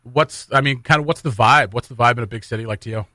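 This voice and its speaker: A man's voice: mid-range voice, fast, pushed and bright, no room sound. A man hosting a casual radio interview in an informal, agreeable way. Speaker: radio host. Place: radio studio.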